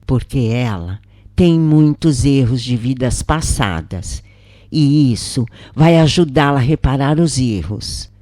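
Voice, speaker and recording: A woman with a Brazilian accent voicing a calm, solemn spirit mentor explaining a coming birth in a story. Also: extremely low pitch, slow pace, no room sound, studio quality, full character voice. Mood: explanatory.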